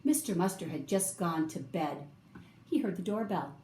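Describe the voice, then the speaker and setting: A woman reading a children's picture book aloud, with expressive, drawn-out emphasis for young listeners. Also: on the low side. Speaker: storybook reader. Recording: roomy echo, faint background noise.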